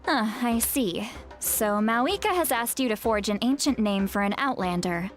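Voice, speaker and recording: A woman's voice: on the high side, animated, soft background music, lightly acted. Woman acting a solemn, self-assured oracle shaman. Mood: composed. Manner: measured, formal, authoritative. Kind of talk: video game character acting.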